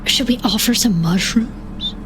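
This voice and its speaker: A meek, earnest adventurer sidekick, played by a woman speaks in a fantasy audio drama. The voice is fairly high and timid, over clear background ambience. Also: measured pace, lightly acted. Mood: uneasy. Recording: clear ambient noise behind.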